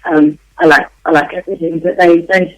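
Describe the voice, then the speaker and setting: A woman singer being interviewed, her voice worn out and going hoarse, chatting loosely with lots of ums and restarts. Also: lowish voice, fairly even intonation, a little fast, no room sound, poor audio. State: losing her voice. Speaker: guest vocalist. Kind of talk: casual interview answers.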